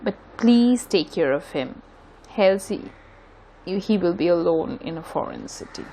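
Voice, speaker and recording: Actress as young woman in love, Indian Bengali accent, animated swooping pitch, earnest and pleading, audio drama, slight background noise. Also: unhurried, low-quality recording. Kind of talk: amateur audio drama acting.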